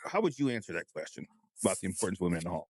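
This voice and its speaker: Male podcast interviewer, lowish pitch with very mobile intonation, informal questioning. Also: sing-song, racing, darkish tone, slightly rough.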